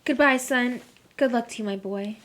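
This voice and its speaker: A woman's voice: on the high side, normal speed, a bit bright, close to an everyday voice. A woman acting a worried, doting mother of a grown son in a radio drama, anxious and fussing. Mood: tender, worried.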